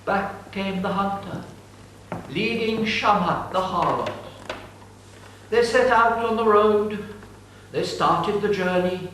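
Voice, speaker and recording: A man's voice: high-pitched, slow pace, pauses often, fairly bright tone, slight background noise. A man narrating an ancient epic in a declamatory, solemn dramatic reading. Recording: distant mic, echoey room.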